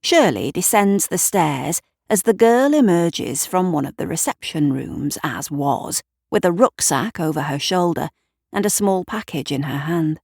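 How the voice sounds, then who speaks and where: A woman narrating a scripted audio drama in a measured, deliberate storytelling manner. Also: low-pitched, lively intonation, creaky, a little mellow, breathy edge, no echo, studio quality. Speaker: writer and narrator.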